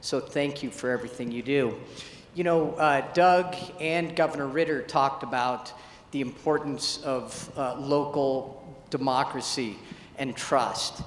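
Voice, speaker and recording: A man moderating a panel discussion on stage, asking gracious, measured questions with some fillers. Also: mid-range voice. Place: large event hall on stage. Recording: echoey hall, faint room noise.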